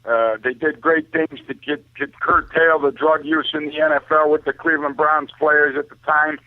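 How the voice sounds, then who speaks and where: A man's voice: a little high, level tone, normal speed, no echo. A man giving opinionated sports talk over a narrow phone line, speaking emphatically and often addressing his listener as "man". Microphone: telephone.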